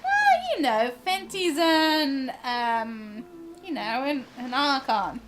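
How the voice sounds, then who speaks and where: High, lively, bright-voiced woman streamer. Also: takes it slowly. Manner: giggly, excitable, bubbly. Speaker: live streamer. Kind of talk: casual game livestream chat. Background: game music.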